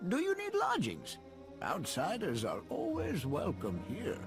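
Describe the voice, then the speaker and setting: Curious alien, wildly swooping, high. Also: male voice, huge pitch swings, measured pace, over music, exaggerated voice. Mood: welcoming, curious. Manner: naive, wondering, courteous. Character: friendly, curious native alien trader, innocently blunt. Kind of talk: video game cutscene acting.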